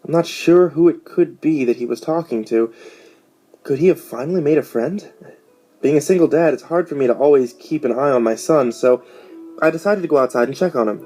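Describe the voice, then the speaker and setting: Guy reading a creepy story, normal pitch, bit of guitar behind him. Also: warm tone. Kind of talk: scripted horror story narration. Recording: music behind.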